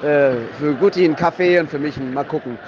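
High-voiced man reporting over crowd noise. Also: brisk. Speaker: football podcast reporter. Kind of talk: casual on-site report from stadium. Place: outdoors in a football stadium. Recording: dull recording amid stadium crowd noise. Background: crowd and outdoor noise.

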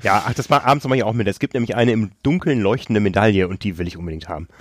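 A man's voice: on the low side, very fast. A man co-hosting a podcast, telling stories in a casual chat while calling in remotely from a hotel, slightly ill with a cough and laughing often. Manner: chatty storyteller, laughs often. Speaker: podcast co-host. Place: hotel on a tropical island. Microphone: remote call.

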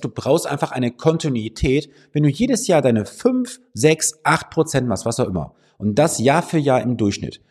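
A man's voice: medium pitch, a little mellow, breathy edge. A man with a slight cold, hosting a finance podcast and giving direct, persuasive advice to the listener from his office. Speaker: financial advisor and podcast host. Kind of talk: direct-to-camera advice monologue.

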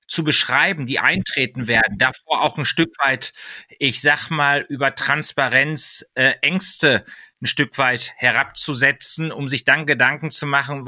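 A man's voice: mid-range voice, steady tone, unhurried, bright, forceful tone, no room sound, studio quality. A man hosting a podcast interview, asking long, winding questions full of hesitations. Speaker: podcast host.

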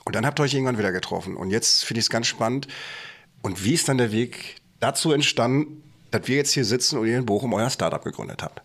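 A man's voice: mid-range voice, quick pace, a bit bright. A man hosting a podcast, a chatty storyteller who jokes and laughs often. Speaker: podcast host. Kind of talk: casual chat.

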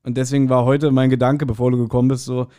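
A man's voice: mid-range voice, flat delivery, fast, warm tone. A man co-hosting a podcast in casual banter, rambling in a playful, self-mocking way. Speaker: podcast co-host.

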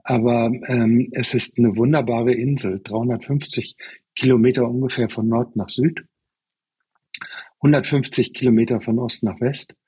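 A man in his sixties, a chef, answering interview questions at length in a relaxed, expansive way with many fillers. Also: medium pitch, moderate pace, slightly rough, dry recording. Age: 60s.

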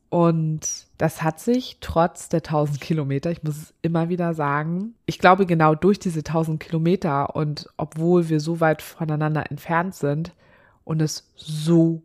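A woman hosting a solo podcast, talking candidly and chattily with a slightly nasal voice from a blocked nose. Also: low voice. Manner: chatty, candid, laughs often. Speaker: podcast host. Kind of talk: casual solo podcast chat.

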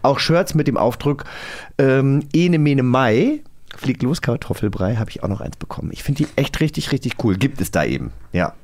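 A man's voice: mid-range voice, expressive, normal speed, a little mellow. A German man co-hosting a podcast in a casual, playful quiz style. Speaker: podcast co-host.

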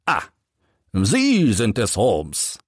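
Man putting on a brisk major, wildly swooping pitch. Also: wildly swooping pitch, husky, no echo, studio quality, exaggerated voice. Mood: brisk, smug. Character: brisk military major, loud and self-assured. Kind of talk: audiobook character reading.

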